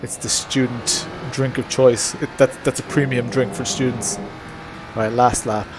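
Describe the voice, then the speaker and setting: Slow-paced joking man streaming a race. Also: mid-range voice, fairly even intonation, over music. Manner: joking, self-amused. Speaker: sim racing streamer. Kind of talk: casual live gaming commentary. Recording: noisy, car engine sound. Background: racing car noise.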